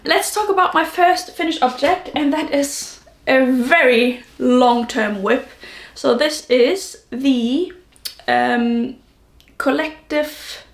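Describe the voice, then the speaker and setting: A woman's voice: fairly high pitch, echoey room. A woman hosting a podcast in casual, rambling solo chat, correcting herself as she goes, her voice not fully recovered from a lingering cold. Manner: rambling, self-correcting, informal. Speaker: knitting podcast host.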